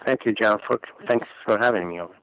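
A man in his forties from Palestine, a non-native English speaker, talking earnestly and haltingly in a phone interview. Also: fairly low pitch, fairly bright tone, no echo. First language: Arabic. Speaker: interview guest. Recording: muffled phone recording.

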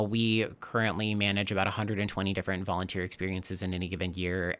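A man's voice: deep voice, a bit bright. A man from Texas who works for a trail nonprofit, giving earnest, thoughtful interview answers with frequent restarts. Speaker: nonprofit trail stewardship staffer.

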